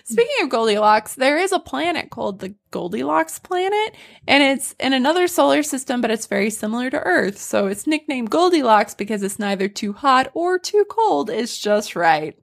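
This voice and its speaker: A young woman hosting a casual podcast who is losing her voice, retelling dark fairy tales with frequent laughter. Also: sits high, moderate pace, vocal fry. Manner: animated storyteller, laughs often. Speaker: podcast host.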